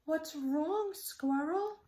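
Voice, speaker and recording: A woman voicing a cheerful, laid-back storybook cat with a drawn-out, singsong delivery. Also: sits high, dark tone, voice pushed up. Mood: concerned. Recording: echoey room.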